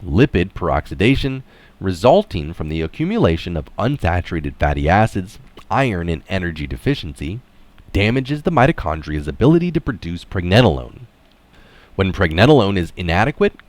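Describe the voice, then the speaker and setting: A man with a very deep voice reads written material aloud in a conversational way. His intonation moves around a lot, keeping the narration animated. The sound is dry, with hardly any room on it. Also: no echo. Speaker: reader and commentator. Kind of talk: read-aloud article with informal asides.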